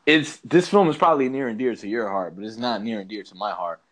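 A man's voice: lowish voice, fast, a bit bright, poor audio. A man co-hosting a podcast in casual chat, ranting with emphatic, slangy, repetitive phrasing. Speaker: podcast co-host.

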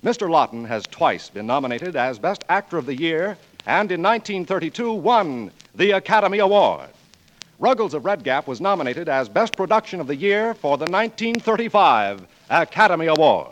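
A man's voice: fairly high pitch, big pitch swings, moderate pace, slight background noise, poor audio. A man with an American accent reading a script in the formal, declamatory style of a 1940s radio announcer. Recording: old radio broadcast, music behind.

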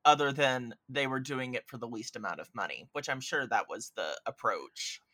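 A man's voice: mid-range voice, normal speed, sharp tone, no echo. A man chatting casually about films as a podcast guest, opinionated and emphatic, with sarcastic jabs and some swearing. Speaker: podcast guest film critic. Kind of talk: casual film discussion.

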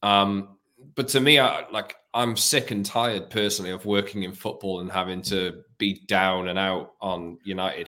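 A man co-hosting a football podcast in a measured, reflective way. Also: low voice, steady tone, pushed and bright. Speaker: podcast co-host. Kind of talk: casual football fan discussion.

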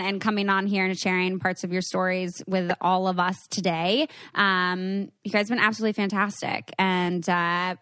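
A woman's voice: mid-range voice, a bit bright, no room sound. Effusive woman podcast host, close dry sound. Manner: effusive, appreciative. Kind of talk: casual conversational interview.

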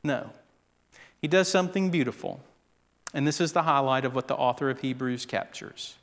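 A man preaching a sermon, speaking slowly and deliberately and acting out quoted voices with drama. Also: mid-range voice. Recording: long hall reverb.

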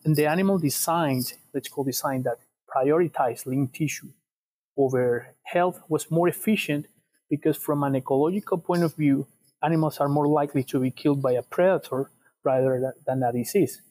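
A young man from Ecuador with an Ecuadorian Spanish accent explains research as an interview guest, in a measured way, often repeating words as they go. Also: mid-range voice, darkish tone, rough recording. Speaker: guest researcher, PhD student.

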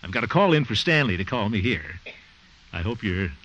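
A man's voice: fairly low pitch, sing-song, fairly quick, fairly bright tone, muffled recording. An actor playing a genial, bumbling, flirtatious man with a hearty, chuckling, theatrical delivery in a 1950s radio sitcom. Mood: eager. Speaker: actor in comedy lead. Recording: noisy old 1950 radio recording.

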